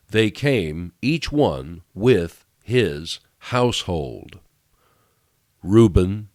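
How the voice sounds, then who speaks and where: A man reading scripture aloud in a solemn, measured way, pausing deliberately between phrases. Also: on the low side, no room sound, clean studio sound. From United States. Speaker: Bible reader.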